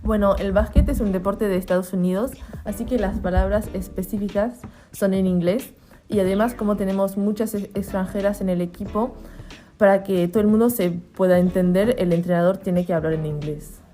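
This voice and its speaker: A woman's voice: medium pitch, steady tone, normal speed, warm tone, soft background music. A young woman from Geneva, a native French speaker with a French-tinged Argentine Spanish accent, giving plain, orderly interview answers. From Geneva, Switzerland. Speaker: guest, professional basketball player.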